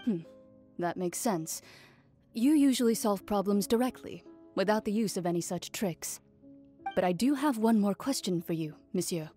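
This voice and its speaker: Actress as a composed huntress over prominent music. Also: female voice, medium pitch, animated, mellow, close to an everyday voice. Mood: composed, explanatory. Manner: formal, measured, explanatory. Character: composed, knowledgeable woman hunter explaining trap craft. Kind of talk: game voice acting. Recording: music playing behind the voice.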